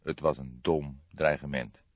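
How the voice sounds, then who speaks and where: A man reading an audiobook as a shrewd older police detective, speaking softly in a calm, measured, deliberate way. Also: rumbling low, no echo, highs cut off, voice pushed down. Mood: calm, reflective. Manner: measured, deliberate, soft-spoken.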